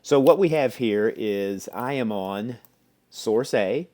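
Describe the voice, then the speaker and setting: Lower-pitched man presenting a software demo. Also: lively intonation, slow pace. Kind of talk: explanatory product demo walkthrough.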